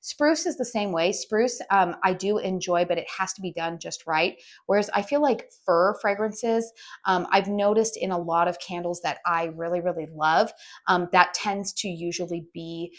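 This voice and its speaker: Raspy-voiced woman chatting outdoors. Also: on the low side, moderate pace. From US West Coast. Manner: chatty, frequent ums and restarts. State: raspy from a head cold. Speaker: candle reviewer. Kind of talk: casual product review vlog. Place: outdoors with birdsong.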